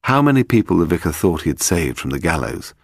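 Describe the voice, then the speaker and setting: A British man narrating a detective novel in a measured, literary storytelling manner. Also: deep voice, very animated, gravelly, no room sound, studio quality. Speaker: audiobook narrator.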